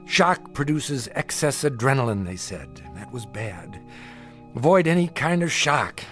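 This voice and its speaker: Haunted, sleepless man, edgy and halting. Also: mid-range voice, big pitch swings, fairly bright tone, faint music behind. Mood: weary, agitated. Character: haunted, sleepless male patient afraid of his own imagination. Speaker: actor in a radio drama. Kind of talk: audio drama acting.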